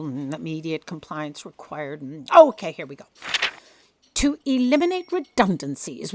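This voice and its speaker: Imperious, animated boss editor. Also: female voice, fairly low pitch, slight background noise. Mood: impatient. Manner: pompous, commanding. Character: haughty, imperious, indignant chief editor. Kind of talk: comic audio drama acting.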